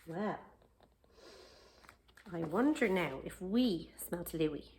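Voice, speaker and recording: A woman reads a children's story aloud with a very lively, swooping pitch. She stretches key words for emphasis and turns to young listeners with questions. Some background noise sits behind her voice. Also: vocal fry. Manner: expressive, questioning, drawn-out emphasis. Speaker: library storytime reader. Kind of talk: children's picture-book read-aloud with asides to young listeners.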